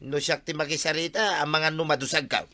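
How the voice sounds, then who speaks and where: A man's voice: fairly high pitch, fairly even intonation, brisk, pushed and bright, dry recording. A man playing a helpful but easily aggrieved village man in a comic radio drama, speaking emphatically. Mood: irritated. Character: plain-spoken village man, helpful but quick to complain.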